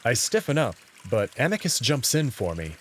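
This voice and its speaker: A man's voice: medium pitch, very animated, normal speed, a little hiss or hum. A man reading scripted first-person narration for a visual novel game.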